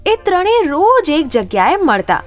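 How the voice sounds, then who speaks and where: A woman narrating a scripted children's story in an expressive storytelling manner. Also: very high voice, a little slow, soft background music.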